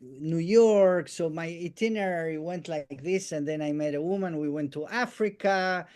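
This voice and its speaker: Halting Argentine-born man on a call. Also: fairly high pitch, a little mellow, smooth. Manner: halting, many restarts and "eh" fillers. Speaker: guest musician and storyteller. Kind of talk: casual conversation, life story.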